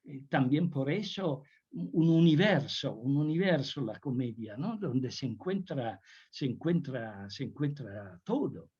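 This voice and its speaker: Halting Italian-accented older man, slow. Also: mid-range voice, animated, a little mellow, husky. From Italy. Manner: halting, many restarts and repeated words. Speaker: guest lecturer. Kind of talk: Zoom talk Q&A. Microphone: video call. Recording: muffled video call audio.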